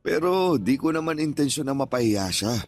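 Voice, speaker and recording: A man's voice: medium pitch, expressive, normal speed. An actor playing a kindly, talkative older father and former street vendor in a Tagalog radio drama, plainspoken and folksy. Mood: warm, reflective.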